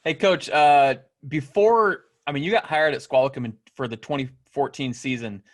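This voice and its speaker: A man's voice: mid-range voice, moderate pace. A man of forty-one co-hosting a podcast, enthusiastic and full of praise as he asks his questions. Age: 40s. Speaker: podcast co-host. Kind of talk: casual podcast interview. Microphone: video call.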